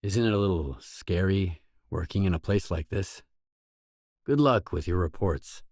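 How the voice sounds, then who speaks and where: A man's voice: low voice, unhurried, a little mellow, no echo, clean studio sound. An actor playing a wary, pragmatic male loner stalker in a video game, speaking in a terse, blunt, matter-of-fact way. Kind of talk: game dialogue voice lines.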